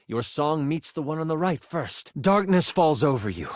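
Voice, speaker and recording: A game master telling a fantasy quest in a video game. His delivery is theatrical and suspenseful with playful asides, in a very lively pitch and a dry, close recording. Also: normal speed, no room sound. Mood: playful, excited. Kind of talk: theatrical tabletop fantasy narration.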